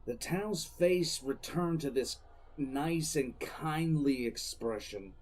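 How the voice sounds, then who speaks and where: A man's voice: on the high side, animated, darkish tone. Man playing a grim, defiant military commissar. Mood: grim disdain. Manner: grim, deliberate, storytelling. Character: defiant, contemptuous male military commissar telling his story. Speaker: narrator and lead character. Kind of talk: first-person dramatic audio drama narration.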